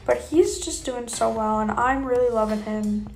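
A woman's voice: on the high side, moderate pace, creaky, a little mellow, low-quality recording. A young woman giving a chatty, informal vlog voiceover as a horse rider. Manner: chatty, informal, hedging with kinda and you know. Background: background music.

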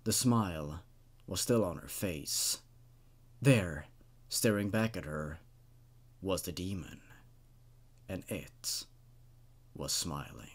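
A man's voice: sits low, lively intonation, takes it slowly, long pauses, breathy edge. Young man presenting horror stories. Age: 20s. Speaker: host reading and reviewing horror stories. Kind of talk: dramatic story reading and casual commentary.

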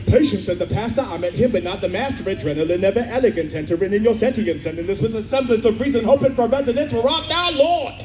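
A man's voice: high-pitched, quick pace, hardly any pauses, echoey room, background noise. A man fronting a band at an outdoor festival, rapping and chatting informally to the crowd through the PA. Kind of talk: live stage banter and rapping. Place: outdoor festival stage at night. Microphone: handheld mic through PA, filmed from audience. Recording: loud live band, festival phone recording.

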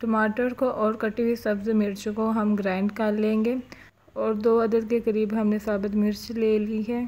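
Calm woman narrating a home recipe. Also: medium pitch, unhurried, low-quality recording. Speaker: home cooking channel host. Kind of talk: step-by-step recipe voice-over.

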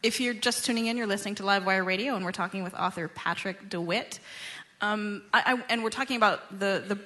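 Bright-voiced woman hosting a radio interview. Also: mid-range voice, a little fast. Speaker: radio show host, interviewer. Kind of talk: conversational live radio interview.

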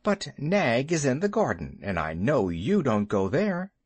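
A bold young male mongoose speaks briskly and a little scornfully, as a storyteller puts him on. A storybook hero with a quick temper. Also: medium pitch, sing-song, breathy edge, no room sound.

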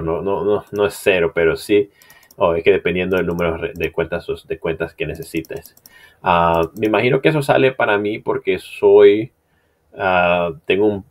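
Low-voiced man giving a chatty screen tutorial. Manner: loose, chatty, many fillers and restarts. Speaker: marketing instructor.

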